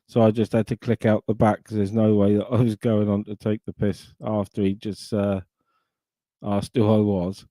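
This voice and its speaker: A man's voice: on the low side, little pitch movement, normal speed, dark tone, no echo. A middle-aged man from Sussex with an English accent, chatting casually and swearing on a live stream into a desk microphone. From England. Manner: sweary, rambling banter. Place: home, live stream call.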